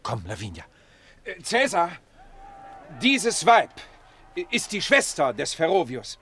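An actor playing a worldly, sceptical Roman captain and devoted lover in a German radio play, earnest and sardonic. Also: male voice, a little high, wildly swooping pitch, slow pace, fairly bright tone, a little hiss or hum, heavily acted. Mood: urgent.

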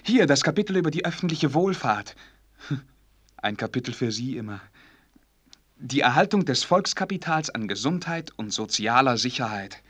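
An actor playing an earnest, sheltered young prince in a German radio drama, courtly and eager, with hesitant phrasing. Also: male voice, mid-range voice, very animated, normal speed, fairly bright tone. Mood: eager, studious.

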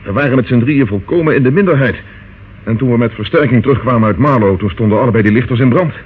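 Fast, factual policeman played by an actor, tinny sound. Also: mid-range voice, lightly acted. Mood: sober, apologetic. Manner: brisk, factual, formal. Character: dutiful, clipped police subordinate reporting to his superior. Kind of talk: radio drama acting. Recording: phone-like, noisy old radio play recording.

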